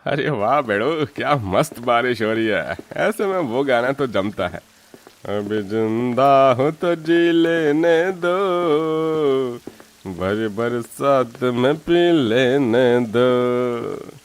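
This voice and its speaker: A man's voice: mid-range voice, animated, drawn-out words, clear, resonant tone. A man voicing a drunken, careless, bullying husband in a cartoon story. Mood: carefree, singing. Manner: boozy, bullying. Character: drunken, abusive, careless poor husband and father.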